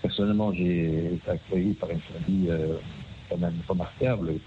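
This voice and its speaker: Older guy on a crackly phone line, low voice, lots of uh and restarts. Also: fairly even intonation, normal speed. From Belgium. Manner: hesitant, many fillers and repeated words. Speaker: interviewee. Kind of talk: phone interview. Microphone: telephone. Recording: tinny phone line with faint noise.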